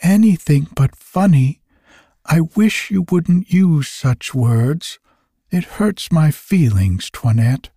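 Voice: medium pitch, lively intonation, slow pace, mellow, husky, no room sound, pitched above the performer's own voice, heavily acted. A narrator voicing a tiny, fussy, boastful male elf with a dry, peevish voice in a storybook reading. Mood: offended. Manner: fussy, exclamatory.

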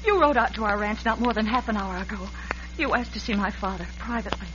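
A woman's voice: medium pitch, fast talker, natural voice. A woman playing a bitter, accusing young American ranch daughter in old-time radio melodrama. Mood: angry accusing. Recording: noisy, muffled vintage radio broadcast.

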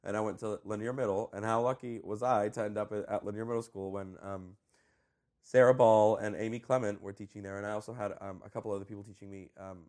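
Fast-talking animated man. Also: on the low side. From Colombia. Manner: animated, laughs often, trails off. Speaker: high school orchestra director. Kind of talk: conversational interview.

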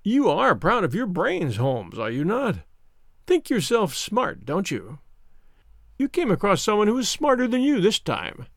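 A man's voice: high-pitched, huge pitch swings, unhurried, vocal fry, no room sound, studio quality, voice pushed up, exaggerated voice. A man voicing a smug, cruel villain who taunts and sneers in a dramatized audiobook reading. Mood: cruel, taunting. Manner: taunting, insistent, mock-concerned.

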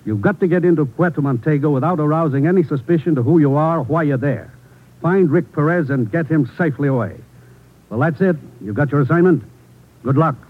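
An American actor playing a grave, authoritative government commissioner in a 1950s radio drama, brisk and official. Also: male voice, on the high side, natural voice. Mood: grave, urgent. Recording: muffled old radio broadcast.

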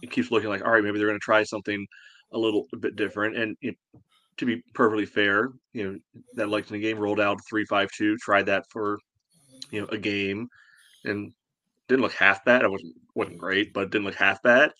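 A man with a lowish voice talks sports casually as a podcast co-host. He works through his thoughts aloud with plenty of hedging. The sound is close and dry, with no room on it. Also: level tone, fairly quick, bright, forceful tone, no room sound.

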